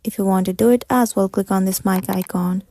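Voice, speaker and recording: A woman's voice: mid-range voice, steady tone, fairly quick, mellow, dry recording. A woman giving scripted step-by-step tutorial narration in a methodical, instructional manner.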